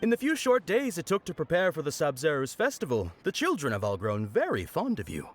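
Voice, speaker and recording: Animated, high-voiced jolly festival knight. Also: male voice, fairly quick. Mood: friendly. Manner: pompous proclamations turning to friendly patter. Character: jolly festival knight performer, theatrically commanding children. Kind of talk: video game voice acting.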